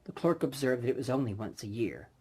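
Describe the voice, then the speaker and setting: Husky, theatrical male storyteller. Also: mid-range voice, expressive, fast talker. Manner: theatrical, swinging from emphatic to hushed. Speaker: audiobook reader. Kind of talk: dramatic scripted story narration.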